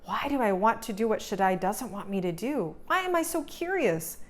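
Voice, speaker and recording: A woman's voice: mid-range voice, animated, normal speed, lightly acted. A woman voicing a curious, innocent young boy in a storybook, earnest and questioning. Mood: curious, uneasy.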